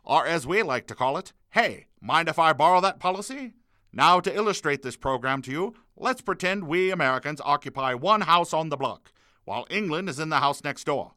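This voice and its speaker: Man acting wartime president, middle-aged, radio address parody, highish forceful voice, formal oratory, grumbling neighbor streak. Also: animated, brisk, no echo, studio quality. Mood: folksy, stately.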